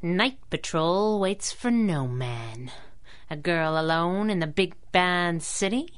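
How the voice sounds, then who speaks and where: A woman's voice: fairly low pitch, animated, slow pace, clear, resonant tone. A woman playing a sassy, plucky young crimefighting sidekick in a 1930s-style radio drama, with slangy, wisecracking talk. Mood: jealous, wry.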